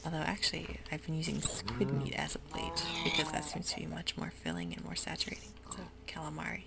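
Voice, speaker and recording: A woman whispering ASMR gameplay commentary in a soft, rambling way with many ums. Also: low voice, a little fast, vocal fry, very noisy. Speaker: gaming ASMR host.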